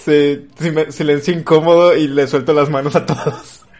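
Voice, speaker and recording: A man's voice: on the high side, fairly even intonation, normal speed, a little mellow. A man playing a tabletop game, talking casually and playfully, often laughing. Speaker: player. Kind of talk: casual play chat.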